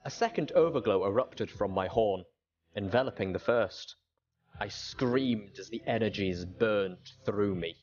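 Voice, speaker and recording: A man's voice: on the low side, measured pace, darkish tone, dull audio. A man reading a story aloud as a dramatic narrator. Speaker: audiobook reader.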